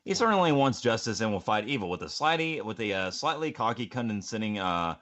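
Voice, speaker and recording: A young man hosting a podcast, animated and goofy, giving opinionated list commentary with casual banter. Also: lowish voice, fairly quick. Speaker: podcast host and amateur voice actor.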